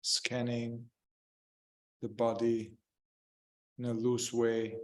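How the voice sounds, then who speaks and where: Slow, even-toned male meditation guide. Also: mid-range voice. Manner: gentle, unhurried, halting short phrases with long pauses. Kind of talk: guided meditation, improvised spoken instruction.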